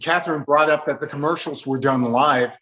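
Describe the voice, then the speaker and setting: A man's voice: a little high, fairly quick, a bit bright. An older man hosting a conversational interview, reminiscing and explaining old broadcasts.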